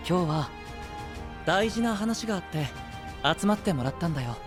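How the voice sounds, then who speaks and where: A male voice actor playing a gentle, composed young knight leader with grand ambitions, soft, polite and persuasive, in an anime-style voice drama. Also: sits high, animated, moderate pace, music in the background. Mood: soothing.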